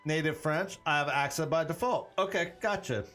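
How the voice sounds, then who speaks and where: A man's voice: on the high side, moderate pace, sharp tone, soft background music. A man running a tabletop role-playing stream as game master, thinking aloud in a rambling, conversational way with frequent restarts. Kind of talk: running a tabletop campaign stream, chatting with viewers.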